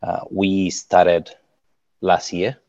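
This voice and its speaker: Uruguayan man, low voice, dull dry audio. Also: completely flat delivery, extremely slow, a little mellow, no room sound. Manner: hesitant, many fillers and restarts. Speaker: climate tech startup co-founder. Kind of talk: interview answers.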